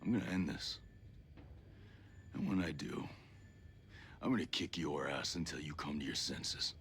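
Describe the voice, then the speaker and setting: An actor playing a gruff, defiant special forces operative, blunt and confrontational, in a video game cutscene. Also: male voice, a bass voice, unhurried, fairly bright tone, slight background noise. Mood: grim resolve.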